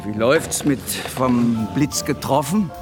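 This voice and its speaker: An anxious fugitive in a radio play. He pleads desperately in a mid-pitched voice, with clear music behind him. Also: animated, takes it slowly. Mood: worried. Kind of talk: radio drama acting.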